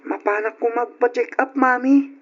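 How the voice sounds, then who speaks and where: Actress as earnest, unwell schoolboy in an old radio serial, polite, high and fairly flat delivery, dull sound. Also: female voice, moderate pace, natural voice. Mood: timid, earnest. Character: polite, ailing schoolboy son. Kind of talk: old Ilocano radio drama acting.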